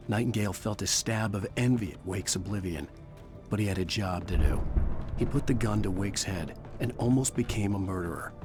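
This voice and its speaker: Guy acting intense writer hero from a horror game, grave and earnest, loud music under him. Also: sits low, lightly acted. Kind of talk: brooding scripted game narration and dramatic dialogue.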